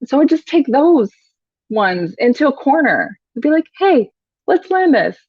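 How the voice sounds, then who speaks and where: A woman's voice: high voice, very animated, a little slow, darkish tone, no room sound. A woman who teaches yoga, chatting casually in an interview, laughing often and saying "like" a lot. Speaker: yoga teacher, podcast guest. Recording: dull, dry lo-fi sound.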